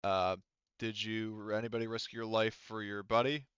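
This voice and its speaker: Guy game mastering a sci-fi rpg, clear smooth voice, swears a lot, kinda dull lo-fi mic. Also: lowish voice, little pitch movement, moderate pace, a bit bright, no room sound. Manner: informal, profane. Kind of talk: casual talk running a tabletop sci-fi game.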